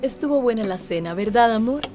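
Woman acting posh rich wife, fussy n worried, highish voice, radio drama w music under it. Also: lively intonation, brisk, close to an everyday voice. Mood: genteel, complaining. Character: genteel wealthy wife, fussy and anxious.

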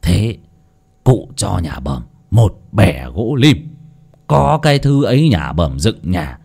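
A man voicing a greedy, pompous old rich village elder with a gruff, blustering way of talking. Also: on the low side, big pitch swings, slow pace, darkish tone, exaggerated voice.

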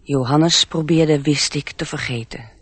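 Slow, husky male storyteller. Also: fairly high pitch, a bit bright, no echo. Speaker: narrator. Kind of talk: scripted storybook narration for a radio play.

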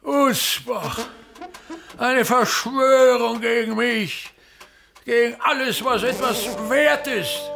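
An actor playing a pompous, embittered touring man of the theatre, declaiming scornfully and repetitively with a creaky voice in a radio drama. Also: very high-pitched, slow, bright, forceful tone, faint music behind, exaggerated voice. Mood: contemptuous ranting.

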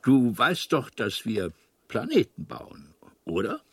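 A man's voice: medium pitch, wildly swooping pitch, takes it slowly, a bit bright, slightly rough, dry recording, heavily acted. A man playing a kindly, absent-minded old alien planet builder in a radio drama, with a hesitant, meandering delivery. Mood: wistful, rambling. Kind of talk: German radio drama acting.

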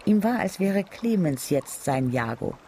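Gravelly, higher-pitched male narrator. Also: very animated, unhurried, dark tone, a little hiss or hum. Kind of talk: literary radio drama narration.

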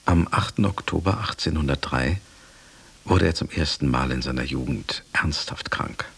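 A sober, devoted manservant narrating a radio drama. His voice is extremely deep, gravelly and very husky. The delivery is formal, measured and deferential. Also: a bit bright, heavily acted. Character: devoted, sober male attendant recounting his master's decline.

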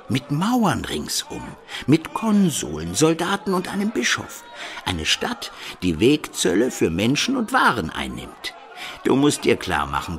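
A man's voice: on the high side, creaky, full character voice. An actor playing a shrewd, earthy medieval townsman in a radio play, explaining things emphatically to a friend. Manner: emphatic, explanatory, checking the listener follows.

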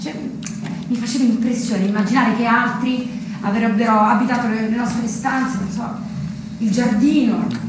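A woman acting as a defiant younger sister with a fairly high voice, nostalgic and idealistic, in conversational stage dialogue. The sound is heavily reverberant and caught from a distance. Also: fairly even intonation, normal speed, natural voice. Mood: nostalgic, musing. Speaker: stage actress. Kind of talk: naturalistic theatre dialogue. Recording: very echoey, distant, noisy stage recording.

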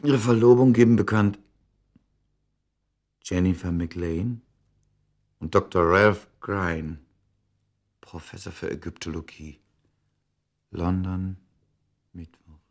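Serious learned doctor in a radio play, low rough-edged voice, courteous. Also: male voice, slow pace, pauses often, full character voice. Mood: stunned, quiet. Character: shaken British scholar, a serious, courteous male doctor. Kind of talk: German radio drama acting.

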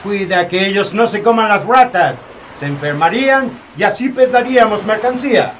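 A man's voice: sits high, lively intonation, moderate pace, a little hiss or hum. A man acting in a community radio drama as a cruel, commanding slave ship captain. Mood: cold, commanding. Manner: commanding, cold. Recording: somewhat distant mic, music underneath.

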